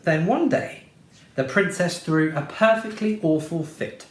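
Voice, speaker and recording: A man reading a children's storybook aloud in a playful, expressive way. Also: fairly high pitch, unhurried, roomy sound, poor audio.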